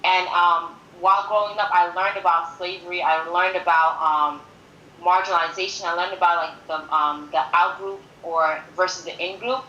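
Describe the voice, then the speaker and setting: Bright-voiced young woman in a roomy space. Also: fairly low pitch, steady tone, moderate pace, dull audio. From born in Haiti, grew up in New York City from age five. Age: 20s. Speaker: podcast guest. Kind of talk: conversational interview, personal account. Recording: roomy echo with faint background noise.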